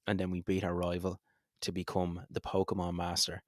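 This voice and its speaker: A man's voice: deep voice, completely flat delivery, fairly quick, dry recording, studio quality. A man co-hosting a podcast in casual, effusive chat, often repeating his phrases for emphasis. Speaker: podcast co-host.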